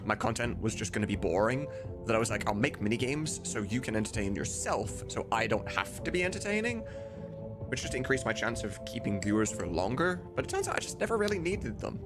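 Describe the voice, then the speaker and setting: A man's voice: lowish voice, very animated, fairly quick. A man chatting casually on a live stream in an informal, sweary way, thinking aloud as he goes. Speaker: streamer and voice mod creator. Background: video game music.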